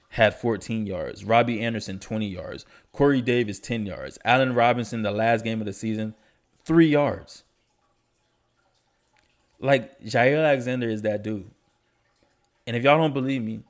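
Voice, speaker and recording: Stuffy-nosed laid-back male podcast host. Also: lowish voice. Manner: laid-back, slangy, enthusiastic about stats. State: stuffy nose from allergies. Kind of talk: casual sports podcast talk.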